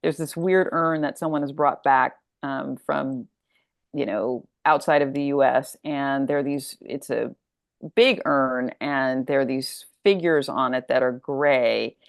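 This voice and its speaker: Low-voiced, creaky American woman, thinking aloud. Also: steady tone, a little slow, no echo, studio quality. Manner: thoughtful, discursive, thinking aloud. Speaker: guest author discussing her story collection. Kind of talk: casual interview talk.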